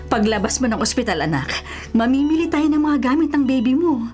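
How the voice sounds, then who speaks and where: A woman with a Filipino accent playing a middle-aged, caring mother in a radio drama, gently emphatic and concerned. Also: high voice, animated, a little fast. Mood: reassuring. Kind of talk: Tagalog radio drama acting. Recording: radio drama with music underneath.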